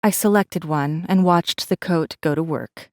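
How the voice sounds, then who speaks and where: A woman reading a first-person story as an audiobook narrator, with measured, dramatic delivery. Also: on the low side, dry recording, clean studio sound.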